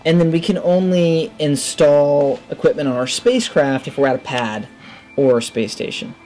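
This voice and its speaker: Low-key male gamer over game music. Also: normal speed, darkish tone. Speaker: gamer, let's play host. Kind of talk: casual game commentary, thinking aloud. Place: indoor room at a gaming setup. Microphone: headset.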